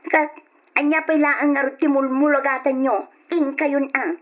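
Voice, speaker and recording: An actress with an Ilocano accent playing a bossy, stingy aunt with a sharp, commanding manner in a radio drama. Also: female voice, high voice, fairly even intonation, normal speed, lightly acted. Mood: bossy, scolding. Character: bossy, stingy aunt scolding her young wards. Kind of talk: Ilocano old-time radio drama acting. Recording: dull lo-fi old radio drama recording.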